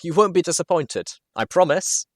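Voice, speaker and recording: A man's voice: on the high side, animated, normal speed, dry recording, studio quality, voice pushed up. A man voicing a shy, eager young pony mare technician who is earnest and nervous in a read-aloud story.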